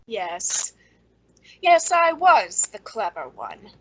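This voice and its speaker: A woman acting a bitter, clever, quietly menacing character in an old-time radio suspense play, brooding and pointed in delivery. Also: mid-range voice, huge pitch swings, extremely slow, husky, full character voice, rough recording.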